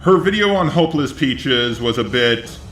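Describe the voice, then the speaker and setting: A man reads scripted commentary in a measured, conciliatory manner. His voice sits at an average male pitch and sounds roomy and echoing, with faint music underneath. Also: lively intonation, a bit bright. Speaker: YouTube commentator.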